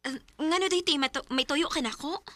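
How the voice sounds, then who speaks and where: A woman's voice: high voice, brisk, bright, forceful tone. An actress playing a young woman who is pregnant by her live-in partner, speaking in an emotional, tearful way in a radio drama. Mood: worried. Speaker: radio drama lead and letter sender.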